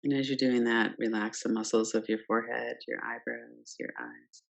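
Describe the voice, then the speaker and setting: A woman teaching guided meditation, speaking calmly and gently in short phrases with pauses between them. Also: rumbling low, gravelly. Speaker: yoga nidra meditation teacher. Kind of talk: guided meditation, unscripted instruction.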